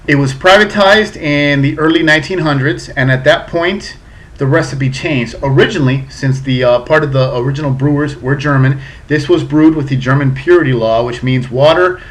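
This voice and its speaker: A man in his thirties from South Florida, a little drunk, casually reviewing a beer on his webcam in a chatty, profane way. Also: mid-range voice. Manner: chatty, profane, a bit tipsy. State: slightly drunk.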